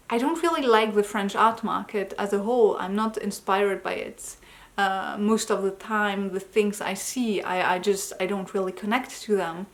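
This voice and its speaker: Tired woman rambling through a vlog update. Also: medium pitch. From Germany. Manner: rambling, self-correcting, with light laughs. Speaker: artist vlogger. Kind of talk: casual personal update vlog.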